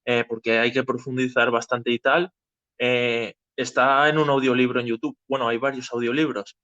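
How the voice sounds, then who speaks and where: A man from hosts a casual discussion. He explains haltingly, feeling for his phrasing, in a middle-pitched voice. The audio is dry and a little dull. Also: level tone, fairly bright tone, no room sound. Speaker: host of a political audio discussion.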